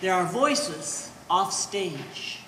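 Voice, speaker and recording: A woman's voice: fairly low pitch, expressive, extremely slow, bright, forceful tone. A woman reading out stage directions as scripted narration for a play. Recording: distant in echoey hall, faint noise.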